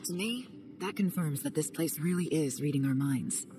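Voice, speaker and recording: Low-voiced cool spy woman, a woman voicing her, calm and measured, game music behind. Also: lightly acted. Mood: calm, analytical. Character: cool, secretive young woman agent. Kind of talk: game cutscene voice acting.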